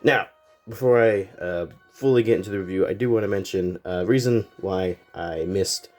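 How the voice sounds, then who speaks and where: A man's voice: deep voice, moderate pace, faint music behind. A man casually reviewing a toy set, sounding congested and a little nasal from a cold, rambling with plenty of fillers. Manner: rambling, relaxed, lots of fillers.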